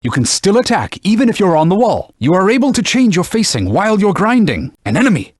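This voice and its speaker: A man's voice: high voice, sing-song, fairly quick, no room sound, clean studio sound. A man voicing a haughty talking sword, a stern knightly mentor who speaks in pompous, formal phrases in a video game. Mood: instructive, composed. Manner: pompous, formal archaic phrasing, scolding and instructive. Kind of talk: theatrical video game voice acting.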